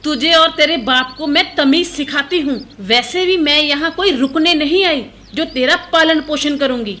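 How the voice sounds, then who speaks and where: A woman's voice: high-pitched, moderate pace, pushed and bright. A woman voicing an emphatic female bird, a young mother figure in a cartoon story. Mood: angry, scornful.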